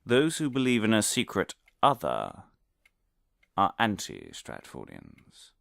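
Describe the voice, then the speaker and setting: A pompous male academic delivers a comic audio-drama lecture in a very low voice. His intonation is highly animated, lurching through theatrical emphasis and earnest digressions. The sound is dry and close, with the muddled self-importance of an obsessive conspiracy scholar. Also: slow, vocal fry, dry recording, heavily acted. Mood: lecturing, dramatic.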